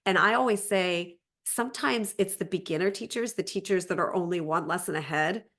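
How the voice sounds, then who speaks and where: A woman's voice: lowish voice, brisk, fairly bright tone. A woman speaking as a language teacher and interview guest, explaining conversationally and often circling back over her phrasing.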